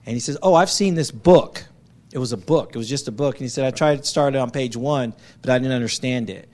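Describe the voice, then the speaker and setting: A man's voice: mid-range voice, fast, a little mellow. A man giving an anecdotal church testimony as a missionary, telling it conversationally and acting out what was said. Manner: conversational storytelling, acts out quotes.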